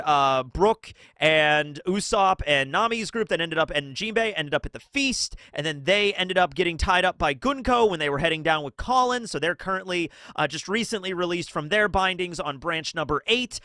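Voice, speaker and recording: A man hosting his own commentary channel, talking in a chatty, excitable way with lots of rhetorical questions, his throat scratchy and his nose sniffly from a cold. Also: high-pitched, dry recording. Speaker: channel host. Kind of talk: casual commentary video.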